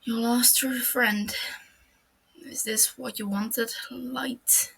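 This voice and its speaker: A woman reading a young male detective's lines, strained and pleading, at times sinking to a whisper, in a slow, husky voice. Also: very high voice, level tone, pushed and bright, voice pushed up, heavily acted, poor audio. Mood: grieving.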